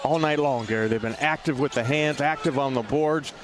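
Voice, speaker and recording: A man's voice: medium pitch, a little fast. Male basketball analyst over arena noise. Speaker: radio color analyst. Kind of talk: live sports analysis. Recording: clear background noise of an arena.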